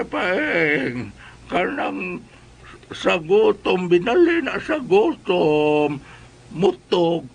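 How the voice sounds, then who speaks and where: A man playing an excitable, henpecked Cebuano father in a comedy skit, exasperated and pleading. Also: high voice, creaky, exaggerated voice. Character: henpecked, excitable Cebuano father pacifying his fierce wife. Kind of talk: comedic first-person storytelling and skit acting.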